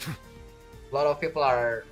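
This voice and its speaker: A man's voice: medium pitch, fairly even intonation, takes it slowly. A man giving casual, musing gameplay commentary as a streamer, thinking aloud. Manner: rambling, musing. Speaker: game streamer. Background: faint game music.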